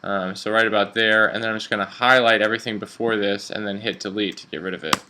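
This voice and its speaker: A man teaches in an informal, step-by-step tutorial style. His voice sits a little low, with a clearly bright, forward tone. He sounds like a practical instructor showing students how to work through a program. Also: fairly even intonation, fast, a little hiss or hum. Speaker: radio instructor. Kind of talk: software tutorial narration, step-by-step explaining.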